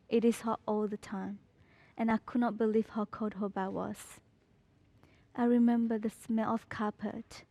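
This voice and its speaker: A teenage girl from Burma, a student, reading her personal story in a slow, measured, deliberate way. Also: mid-range voice, warm tone, dry recording.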